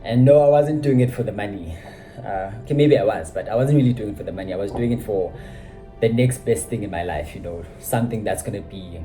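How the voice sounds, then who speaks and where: A man's voice: lowish voice, dark tone, rough recording. A young man, casually recounting his school years, reflective and self-deprecating, with frequent "you know" asides. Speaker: vlogger. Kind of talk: casual personal storytelling.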